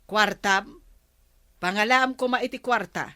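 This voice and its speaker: A woman's voice: mid-range voice, takes it slowly, sharp tone. A woman playing a suspicious, nagging wife in a comedy radio drama. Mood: indignant.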